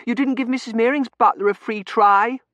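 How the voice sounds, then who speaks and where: A man's voice: very high-pitched, steady tone, fairly quick, a bit bright, no echo, dull audio, voice pushed up. A man voicing a bossy, whiny, self-righteous little Victorian girl in an audiobook. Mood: indignant wailing. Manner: wailing, insistent, self-righteous. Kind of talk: audiobook character acting.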